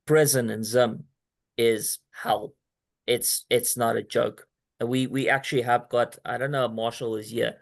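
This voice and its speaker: A man's voice: mid-range voice, creaky, a bit bright. A man hosting a company video call, talking in a loose, rambling way and often restarting his sentences. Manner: rambling, restarts often, talks straight to the listener. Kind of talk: casual pitch on a video call.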